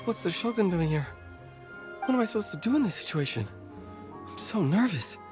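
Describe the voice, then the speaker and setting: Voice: mid-range voice, very animated, fairly quick, natural voice. An actor voicing a flustered, hesitant street food vendor in a video game, nervous and stammering. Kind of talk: video game character acting. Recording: music behind the voice.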